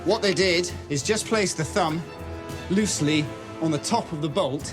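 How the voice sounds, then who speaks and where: A man's voice: on the high side, moderate pace. A man giving explanatory commentary as an expert in a documentary. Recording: music clearly behind. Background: music.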